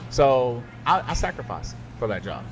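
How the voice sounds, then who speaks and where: A man's voice: medium pitch, very animated, moderate pace, music in the background. A man hosting a casual podcast chat, telling stories candidly and emphatically, with plenty of restarts. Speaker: podcast host and radio broadcaster. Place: radio studio.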